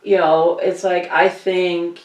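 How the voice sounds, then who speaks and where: A woman's voice: on the low side, flat delivery, slow pace. Echoey, emphatic woman from Germany chatting. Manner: emphatic, earnest, repeats phrases for emphasis. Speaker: talk show host. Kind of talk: casual conversational opinion chat. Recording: roomy echo, distant from mic.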